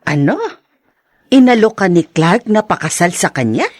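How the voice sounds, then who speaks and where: An earnest middle-aged mother in a melodrama. Her voice is deep for a woman, with lively rises and falls and a questioning, motherly manner. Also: moderate pace, dry recording, studio quality. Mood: startled, questioning. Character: concerned, practical older mother advising her daughter. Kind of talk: melodramatic radio-style drama acting.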